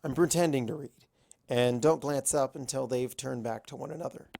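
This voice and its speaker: A man's voice: medium pitch, normal speed, vocal fry, darkish tone. A man reading a first-person story aloud as an amateur narrator. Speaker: amateur fan fiction reader.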